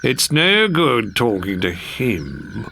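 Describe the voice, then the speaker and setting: A man's voice: on the low side, wildly swooping pitch, slow, creaky, bright, forceful tone, slight background noise, exaggerated voice. Man doing a dry, sardonic frog. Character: sardonic male frog, blunt and grudging.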